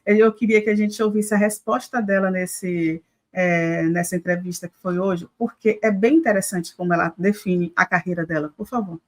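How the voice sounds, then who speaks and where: A woman's voice: on the low side, steady tone, moderate pace. A Brazilian woman hosting a live news commentary show, talking conversationally with many hesitations.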